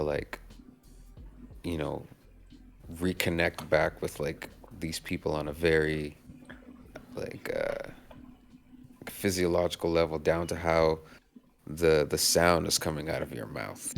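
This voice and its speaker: A man from Nigeria talks as a podcast guest in a very deep voice with a gravelly fry. He is relaxed and reflective and thinks out loud. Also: a little slow, long pauses, soft background music. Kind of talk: casual chat.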